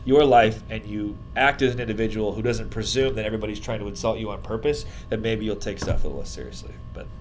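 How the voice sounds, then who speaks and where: A man's voice: fast, hardly any pauses, over music. A man co-hosting a casual panel discussion, speaking in an informal, rambling, conversational way. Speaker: podcast co-host. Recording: noisy room recording with low rumble.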